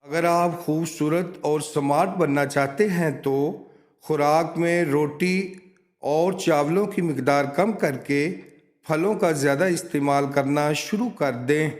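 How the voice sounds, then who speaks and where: Slow, high-pitched male narrator. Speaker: narrator of a home remedies and quotes channel. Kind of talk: scripted voice-over narration of tips and sayings.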